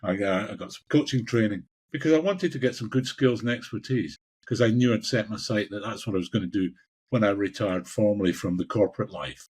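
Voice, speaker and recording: A Scottish man in his sixties with a husky voice, answering interview questions in a passionate, emphatic way and repeating phrases for emphasis. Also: fairly low pitch, fairly quick, darkish tone. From Scotland. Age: 60s. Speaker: executive coach, podcast guest.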